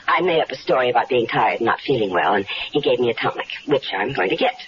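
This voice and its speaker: A woman's voice: very deep voice, lively intonation, quick pace. An actress playing a scheming wife who coolly plots her husband's murder in a 1970s radio drama, with a coaxing, confident, sweetly calculating manner. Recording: dull old radio broadcast with faint hiss.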